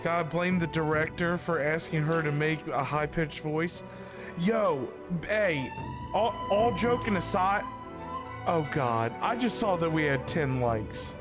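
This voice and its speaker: A man's voice: fairly high pitch, a little slow. A man streaming a game and chatting casually in a rambling, informal way. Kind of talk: casual livestream chat. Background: faint music.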